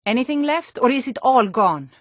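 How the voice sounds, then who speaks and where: A woman's voice: high voice, expressive, normal speed, no echo, studio quality. An actress voicing a hardy, no-nonsense Nord townswoman and guard in a fantasy video game, with a firm, plain-spoken delivery. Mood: scornful, angry. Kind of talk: scripted fantasy game dialogue.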